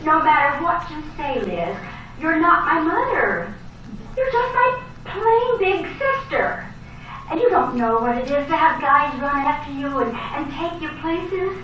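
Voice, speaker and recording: Actress as vain young sister for vintage soap: high-voiced, defiant, brash, heard from afar in a very echoey space. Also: female voice, expressive, a little slow, a bit bright. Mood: defiant, self-absorbed. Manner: dramatic, brash. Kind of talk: old-time soap opera acting. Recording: echoey, distant old soap opera recording, faint noise.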